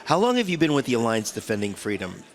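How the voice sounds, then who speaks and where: A man's voice: medium pitch, huge pitch swings, fast talker. A man with a cold and a stuffed-up voice, hosting a radio talk show in a rambling, chatty way. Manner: rambling, chatty, many restarts. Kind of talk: casual radio interview chat. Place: radio studio.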